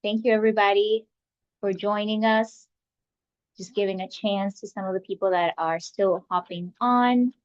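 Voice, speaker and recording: A woman's voice: medium pitch, fairly even intonation, normal speed. Woman, webinar host; polite, welcoming read-through of a scripted introduction; muffled video-call audio. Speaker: webinar host and community coordinator.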